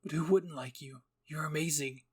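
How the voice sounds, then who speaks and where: A man voicing a gentle, lonely teenage boy, soft-spoken and hesitant, in an anime roleplay reading. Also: on the high side, fairly even intonation, normal speed, husky. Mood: wistful. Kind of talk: anime fan-fiction ASMR roleplay.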